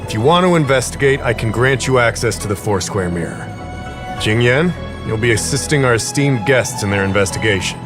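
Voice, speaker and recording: An actor playing a government official in a video game, with brisk, easygoing authority. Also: male voice, mid-range voice, animated, close to an everyday voice. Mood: brisk, instructing. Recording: music under the voice.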